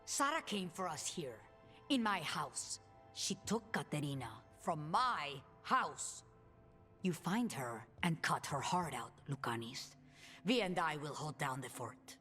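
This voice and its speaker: An actress playing a proud, fierce, commanding crime boss in a video game, emphatic and imperious. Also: female voice, low voice, lively intonation, a little slow, a bit bright, big-room sound, soft background music. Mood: vengeful, commanding.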